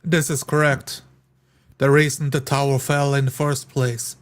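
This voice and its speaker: Sober, formal fantasy adventurer acted by a man, middle register, deliberate delivery for tabletop roleplay. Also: medium pitch, flat delivery, close to an everyday voice. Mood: grave.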